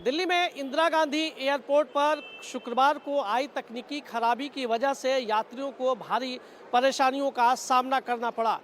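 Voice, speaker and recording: A man's voice: very high voice, a bit bright. A man giving an on-location news report in a repetitive, semi-improvised way, recorded outdoors. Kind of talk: live-style on-location reporting. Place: outdoors at an airport. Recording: faint outdoor traffic noise.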